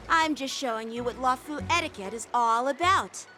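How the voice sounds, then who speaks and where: Earnest, high-voiced boy swordsman. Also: sharp tone. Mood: polite, proud. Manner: polite, formal, slightly halting. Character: earnest, bashful teenage boy swordsman, newly made teacher. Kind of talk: game cutscene acting. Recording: prominent music behind the voice.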